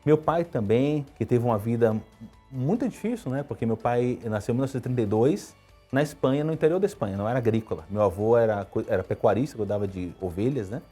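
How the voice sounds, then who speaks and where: A man around fifty from Salvador, a guest telling his life story in a relaxed interview. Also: slightly rough, faint music behind. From Brazil (Salvador, Bahia). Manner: quick, storytelling, lively pitch swings. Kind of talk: conversational interview answers.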